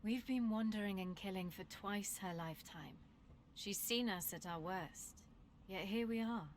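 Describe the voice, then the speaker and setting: A woman's voice: medium pitch, a little slow, a little hiss or hum, close to an everyday voice. An actress playing a world-weary but principled and loyal female assassin in a video game. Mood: weary, regretful. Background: game music.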